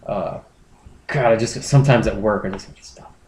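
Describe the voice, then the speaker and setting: Low-voiced man in an echoey, noisy room. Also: brisk, a little mellow. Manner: mock-serious, profane. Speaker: comedy podcast host. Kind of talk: casual banter and readings of internet posts. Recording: roomy echo, clear background noise.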